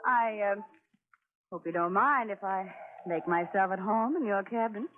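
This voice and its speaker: A woman's voice: medium pitch, unhurried, music in the background, close to an everyday voice. An actress playing a seductive, jittery scheming woman in a 1940s radio drama, with a coaxing, hesitant manner. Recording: tinny, noisy 1940s radio recording.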